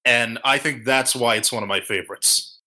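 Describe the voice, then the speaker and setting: Bright-voiced man hosting a casual chat. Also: mid-range voice, normal speed. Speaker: podcast host. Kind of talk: casual panel discussion of a cartoon episode.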